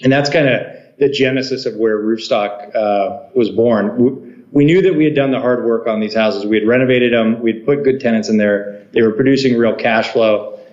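A man's voice: medium pitch, normal speed, darkish tone. A man, a company co-founder, telling his story as a guest in a casual interview with many fillers. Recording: echoey, dull remote line.

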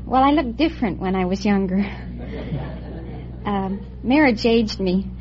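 A woman's voice: mid-range voice, expressive, unhurried, crowd noise. A woman from Brooklyn with an American accent, chatting as a contestant on an old radio quiz show. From Brooklyn, New York. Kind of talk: chatty interview answers on radio. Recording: muffled old radio recording, loud audience noise.

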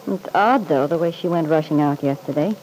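Woman acting an arch, catty, mellow-voiced radio woman. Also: low-pitched, brisk, lightly acted, rough recording. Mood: curious, dry. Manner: arch, needling, drily amused. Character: catty, disillusioned woman needling her ruthless companion. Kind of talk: vintage radio soap opera acting. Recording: old radio recording with faint hiss.

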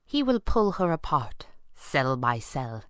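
Smooth, cruel pulp villain, man reading, money-hungry, all menacing courtesy, with a highly varied melody. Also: very animated, takes it slowly, a bit bright, no echo, studio quality. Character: oily, greedy male villain, smooth-talking, cruel mercenary. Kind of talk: dramatised pulp adventure audiobook.

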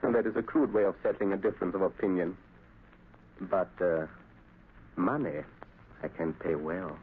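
An actor playing a cold, arrogant doctor and saboteur in a 1930s radio drama, speaking in a measured, formal, menacing way. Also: male voice, deep voice, fairly even intonation, husky. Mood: smooth, calculating. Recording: tinny, noisy 1930s radio recording.

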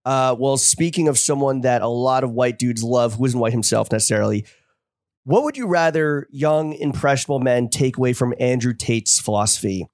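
Casting: male podcast co-host, wry and lively, pitch jumping around, dry close sound. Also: moderate pace, no echo. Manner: wry, asks mock-serious questions. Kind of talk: casual podcast banter.

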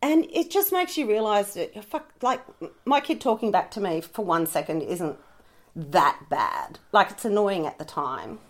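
A middle-aged woman with a croaky voice from a cold, chatting frankly on a podcast and swearing freely. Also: mid-range voice, big pitch swings, measured pace. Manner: frank and sweary, laughing easily. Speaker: podcast co-host. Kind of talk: casual chat.

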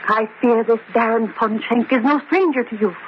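A woman's voice: fairly high pitch, moderate pace, natural voice. An actress playing a coquettish, anxious and devoted lady companion in an arch, theatrical comic horror radio drama style. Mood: melodramatic suspicion. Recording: muffled radio recording with faint noise.

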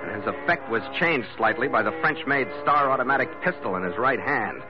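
An actor playing a tough, wisecracking ship's captain with an American accent in a hard-boiled old radio adventure, sardonic and slangy. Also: male voice, mid-range voice, lively intonation, a little fast, music in the background, lightly acted. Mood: narrating coolly. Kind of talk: hard-boiled 1940s-50s radio acting and narration. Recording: dull vintage radio recording.

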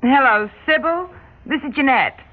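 A breezy, chatty receptionist with an animated, swooping delivery. She dithers pleasantly in vintage radio style, on a tinny, narrow recording. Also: sits high, normal speed, a little hiss or hum. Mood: chatty, helpful. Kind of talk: old radio drama acting.

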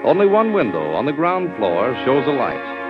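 A man's voice: mid-range voice, wildly swooping pitch, moderate pace. A man announcing and narrating formally and dramatically in a 1940s radio style. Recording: dull vintage radio recording. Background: loud music.

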